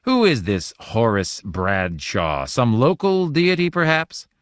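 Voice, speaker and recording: A man's voice: medium pitch, huge pitch swings, takes it slowly, a bit bright, dry recording, pitched above the performer's own voice, full character voice. A man voicing a small one-eyed alien god who speaks in a sour, lofty, noncommittal way, read dry and close.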